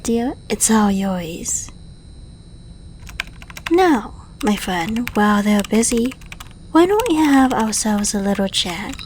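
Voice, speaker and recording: A woman's voice: medium pitch, a little mellow, a little hiss or hum, close to an everyday voice. A woman playing a suave, flirtatious secret agent in an ASMR roleplay, speaking to the listener in a playful, polite and coolly menacing way. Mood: composed, polite. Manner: playful, polite, coaxing, unhurried.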